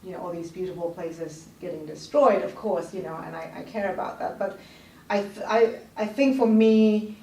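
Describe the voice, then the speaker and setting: A woman's voice: fairly low pitch, normal speed, a little mellow, recorded from a distance. Woman chatting in a roomy studio. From Thailand. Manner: chatty, many fillers and "you know". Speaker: resident guest. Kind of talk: casual conversation. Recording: roomy studio heard from off-mic, faint noise.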